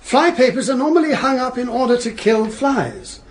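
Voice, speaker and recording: An actor playing a formal, precise prosecuting barrister who questions witnesses pointedly in a radio courtroom drama. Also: male voice, extremely high pitch, expressive, normal speed, slight background noise.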